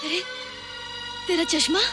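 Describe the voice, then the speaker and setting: An actress playing an astonished, bewildered mother in film drama, speaking haltingly. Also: very high-pitched. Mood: stunned. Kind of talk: Hindi film drama dialogue. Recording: film dialogue under loud music.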